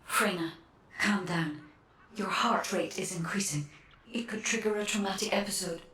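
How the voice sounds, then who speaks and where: An actress voicing a calm, analytical otherworldly guide in a video game, speaking formally and precisely through an echoing, distant effect. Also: female voice, mid-range voice, moderate pace. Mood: calm, cautioning. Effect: echoing, distant voice filter. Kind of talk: scripted video game dialogue.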